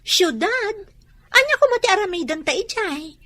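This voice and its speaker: A woman's voice: very high-pitched, very animated, moderate pace, sharp tone, heavily acted. An actress in a radio drama playing a cautious, reluctant young rural woman with a Filipino accent. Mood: doubtful.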